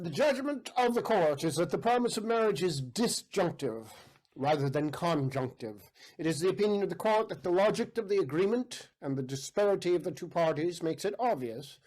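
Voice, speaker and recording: An actor playing a formal small-court judge delivering rulings in an audio play. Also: male voice, on the high side, a little mellow, rough recording. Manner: formal legalistic pronouncing. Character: pompous male judge of a country court.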